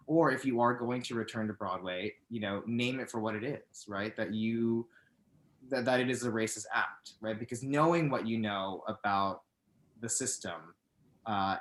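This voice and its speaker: A man's voice: lowish voice, a bit bright, roomy sound. A man on a video call panel, talking in a rambling, searching way and often checking with "right?". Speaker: panelist, theater artist.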